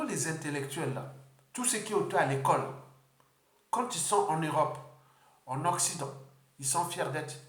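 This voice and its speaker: A man from the Republic of the Congo giving an unscripted political address, halting often and repeating words. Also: fairly high pitch, a bit bright, roomy sound. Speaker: political speaker.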